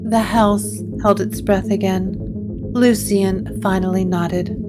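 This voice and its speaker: A woman giving measured, atmospheric scripted narration for a gothic story. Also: medium pitch, fairly even intonation. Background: plucked guitar music.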